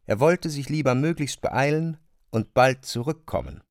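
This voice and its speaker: A man's voice: medium pitch, animated, moderate pace, dry recording, clean studio sound. A man narrating a literary radio play in German, as the storyteller between the acted scenes.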